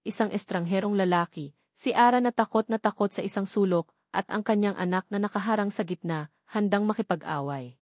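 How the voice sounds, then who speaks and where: A woman narrating a romantic drama story in a steady storytelling delivery. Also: medium pitch, fairly quick, no room sound, studio quality.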